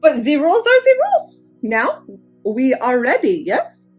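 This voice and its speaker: An officious island Viking judge from a children's storybook, acted out by a woman reader. The voice is high and swoops theatrically as it lays down the rules with sly self-importance. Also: huge pitch swings, unhurried, soft background music, full character voice. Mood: smug, officious. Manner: officious, theatrical rule-quoting.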